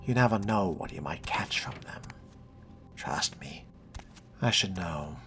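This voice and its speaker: A man's voice: sits low, expressive, a little slow, fairly bright tone, a little hiss or hum. A man voicing a haughty, sardonic vampire fledgling with pompous, formal phrasing in a role-played video game. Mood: sardonic, musing. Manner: pompous, dryly mocking, formal wording. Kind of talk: in-character gameplay monologue.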